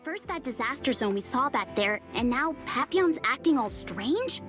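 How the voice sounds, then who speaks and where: A spunky young soldier girl with a high, sing-song voice that leaps around in pitch. She speaks bluntly and sassily. Game music plays in the background. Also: moderate pace. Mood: sassy. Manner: lively, sassy. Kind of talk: game voice acting.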